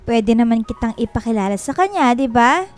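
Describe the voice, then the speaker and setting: A woman's voice: fairly high pitch, animated, a little fast, soft background music, natural voice. A woman playing a young, vulnerable woman confiding in her friend in a Filipino radio drama. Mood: teasing.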